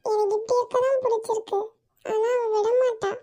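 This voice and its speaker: A woman's voice: extremely high pitch, steady tone, normal speed, darkish tone. A woman voicing an anxious, tearful young woman who is fearful and pleading. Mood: tearful, scared. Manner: tearful, trembling.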